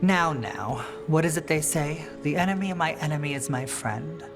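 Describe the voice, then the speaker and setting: An actor as a poised former magister leading rebels in a fantasy game. The voice is mid-pitched, urbane and wryly formal, with faint music underneath. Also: expressive, normal speed, natural voice. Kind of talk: dramatic video game acting.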